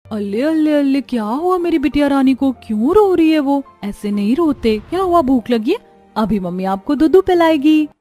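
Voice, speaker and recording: A woman playing a harried, caring young housewife and mother in an animated family story. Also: high-pitched, normal speed. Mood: cooing. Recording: background music under the voice.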